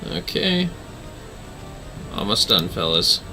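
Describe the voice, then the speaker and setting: A man's voice: deep voice, big pitch swings, takes it slowly, gravelly, music in the background. A man chatting casually as he hosts a game livestream, informal and apologetic, swearing freely. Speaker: livestream host playing a video game.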